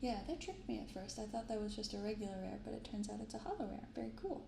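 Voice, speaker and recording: A soft-spoken woman hosting an ASMR unboxing, reading and commenting gently and without hurry. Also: mid-range voice, expressive, fast, vocal fry, roomy sound, noisy background. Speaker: card unboxing video host. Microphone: binaural microphone close up.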